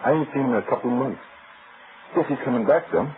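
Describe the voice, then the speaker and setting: An actor playing a cynical, grudging hotel house detective, blunt and slangy with an American accent, in old radio crime style. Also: male voice, mid-range voice, fast talker, lightly acted. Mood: cynical, gossipy. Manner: slangy, blunt, ungrammatical tough talk. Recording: thin muffled old radio recording with hiss.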